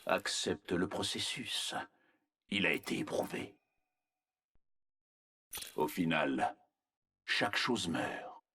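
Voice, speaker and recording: A very low-pitched cold scientist villain for a video game. His voice is husky and he speaks slowly, with clinical condescension and quiet menace. The delivery swings widely in pitch while staying arrogant and measured. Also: lively intonation, a little hiss or hum, heavily acted. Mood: detached menace. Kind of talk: scripted video game character voice lines.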